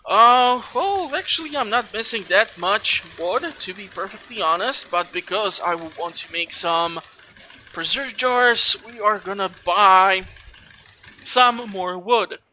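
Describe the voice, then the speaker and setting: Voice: mid-range voice, takes it slowly, pushed and bright, a little hiss or hum. A gaming video host giving casual let's play commentary, chatty and rambling while thinking aloud. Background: video game music.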